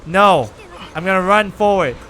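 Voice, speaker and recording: A man chatting casually with viewers and reacting out loud while he plays a game on a live stream. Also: high voice, normal speed, slight background noise. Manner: chatty, animated, lively reactions while playing.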